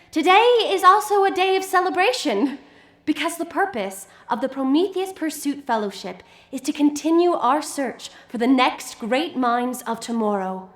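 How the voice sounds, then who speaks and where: Solemn creature lady from a radio play, high voice, very echoey, careful formal talk. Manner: measured, formal delivery. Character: formerly controlled creature, earnest woman, solemn and grateful. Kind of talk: live radio drama acting. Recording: roomy, echoing live radio drama.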